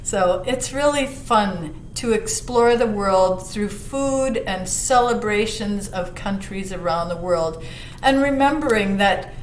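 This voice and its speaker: A woman reading a picture book aloud to children, expressive, putting questions to her young listeners and dropping into hushed asides. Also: medium pitch, takes it slowly. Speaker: children's library storyteller. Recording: roomy echo, some background noise.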